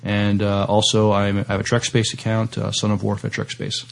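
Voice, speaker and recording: A man co-hosting a podcast, talking casually in a halting, hesitant way. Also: fairly low pitch, completely flat delivery, creaky. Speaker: podcast co-host. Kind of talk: casual list rundown and chat.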